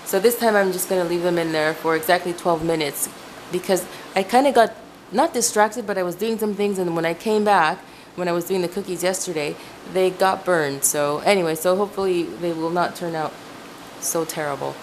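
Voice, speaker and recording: A woman's voice: fairly low pitch, a little fast, slight background noise, low-quality recording. A woman from Ecuador whose first language is Spanish, chatting casually and rambling as she sets out ingredients in her home kitchen, often correcting herself. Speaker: home cooking vlogger. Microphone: camera mic, speaker off screen.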